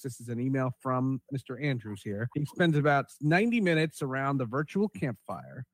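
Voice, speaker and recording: A man hosting a podcast in a casual, conversational way, often halting and repeating his words as he thinks. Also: medium pitch, no echo, poor audio. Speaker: podcast host.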